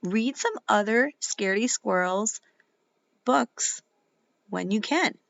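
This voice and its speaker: A woman reading a children's picture book aloud as a teacher would, in a dramatic, playful way with emphatic pauses. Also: mid-range voice, lively intonation, vocal fry, lo-fi sound. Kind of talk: expressive children's storybook read-aloud.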